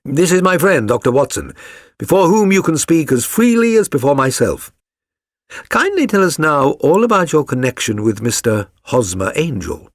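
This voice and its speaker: A man voicing a suave, courteous gentleman detective in a storybook reading, polite, assured and incisive. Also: fairly high pitch, big pitch swings, moderate pace, no echo, clean studio sound, pitched above the performer's own voice.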